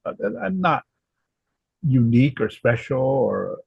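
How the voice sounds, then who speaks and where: A man in his seventies from Southern California, answering interview questions in a thoughtful, halting way with many fillers and restarts. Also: mid-range voice, sing-song, creaky, slightly rough, no echo, muffled recording. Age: 70s. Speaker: guest, historical society president.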